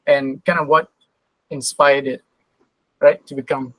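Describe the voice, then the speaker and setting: A man's voice: medium pitch, flat delivery, a little slow, no echo, low-quality recording. A man hosting a podcast, asking casual, loosely phrased interview questions.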